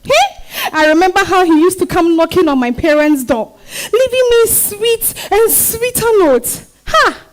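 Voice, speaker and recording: A woman's voice: very high-pitched, lively intonation, measured pace, hardly any pauses, poor audio. A woman with a Ghanaian accent acting a defiant, exasperated wife and mother in a dramatized stage reading. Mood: wistful, aggrieved. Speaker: stage actor.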